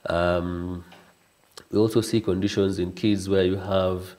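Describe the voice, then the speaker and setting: A Kenyan man with a very low voice explains throat conditions in a patient, measured way. His delivery stays even and flat, with little rise or fall, and Swahili phrases slip in now and then. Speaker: ENT doctor. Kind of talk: explaining on a TV health interview.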